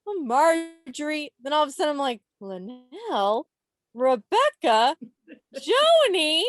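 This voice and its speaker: Playful, high-voiced woman hosting a quiz. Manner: playful, expressive, lingers on names and answers. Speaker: quiz host at a club meeting. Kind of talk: animated quiz reading with casual asides. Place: online video meeting. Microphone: video call microphone.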